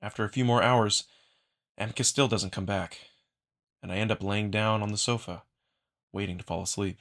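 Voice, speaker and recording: A man's voice: on the low side, steady tone, moderate pace, a bit bright. A man reading first-person narration from a visual novel in a dramatic storytelling style. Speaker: narrator and performer.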